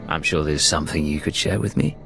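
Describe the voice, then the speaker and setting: A man's voice: a bass voice, quick pace, gravelly, a bit bright, faint music behind, heavily acted. A man playing a cool, confident imperial secret agent in a sci-fi video game, with clipped, assured delivery. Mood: cool, probing. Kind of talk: scripted video game dialogue.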